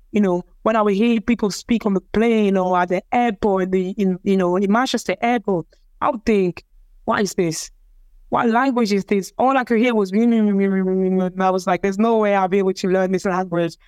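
A young woman from Cameroon, French her first language, tells her story in a relaxed, hesitant way, circling back and repeating words. Her voice is smooth and clear, at a middle pitch. The sound is close and dry. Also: dry recording. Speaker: interviewee, athlete. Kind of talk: casual personal storytelling.

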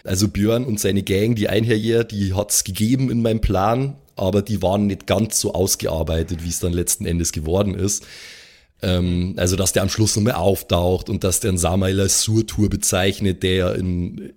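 A man's voice: low voice, steady tone, moderate pace, a little mellow. A man chatting casually as a game master on a podcast, colloquial and peppered with English slang. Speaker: game master and player on a role-play podcast. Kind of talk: casual chat.

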